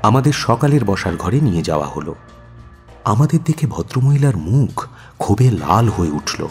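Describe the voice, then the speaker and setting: A detective's companion who narrates the adventure. His voice is lowish and very lively, full of suspense and expression, set over clearly audible music in a radio play. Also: moderate pace. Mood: dramatic. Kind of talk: dramatic first-person storytelling in radio play.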